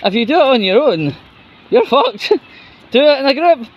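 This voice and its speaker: A man giving casual, opinionated news commentary in a rambling, halting, sweary way. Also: extremely high pitch, big pitch swings, breathy edge, muffled recording. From United Kingdom. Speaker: host of his own commentary vlog.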